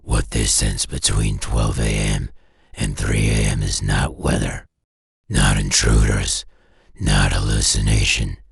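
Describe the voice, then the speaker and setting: A man narrating a scripted horror story in an ominous, deliberate way, with a gravelly, husky voice and short clipped phrases. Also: a bass voice, flat delivery, no room sound. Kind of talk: scripted analog horror narration, second-person.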